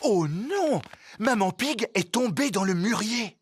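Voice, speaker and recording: A man's voice: high voice, expressive, slow pace, noisy background. A man narrating a children's cartoon as a cheerful storybook narrator, with scripted delivery. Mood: concerned.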